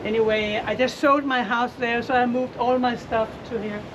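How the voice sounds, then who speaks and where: A woman with a Danish accent chatting casually outdoors, warm and helpful, laughing often. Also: fairly high pitch, fairly even intonation, moderate pace. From Denmark. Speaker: local resident met on the road. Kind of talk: casual chat. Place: outdoors by a small seaside town road. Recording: muffled outdoor audio with traffic noise.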